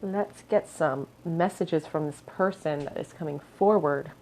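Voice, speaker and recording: A woman giving a pick-a-card tarot reading in a musing tone, her voice a little hoarse and scratchy, often clearing her throat. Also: low-pitched, a little slow, darkish tone. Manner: musing, hedges with "I feel". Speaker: tarot reader.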